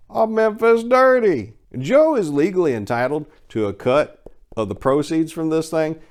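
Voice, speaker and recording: A man narrating a story as a dramatic reading, theatrical and folksy, swinging between hushed delivery and loud emphasis. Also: on the high side. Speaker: narrator and channel host.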